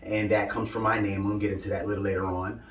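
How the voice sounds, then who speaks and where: A man talks in a low, easygoing voice, stringing his answers together with plenty of fillers. He sounds upbeat as he talks about his passion. The sound is roomy and echoey, with the mic some way off from him. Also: little pitch movement, fast talker. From Brooklyn, New York. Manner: upbeat, talks in loose run-ons with many "you know" fillers. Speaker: brand founder, interviewee. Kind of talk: casual interview answers. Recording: echoey room, mic at a distance.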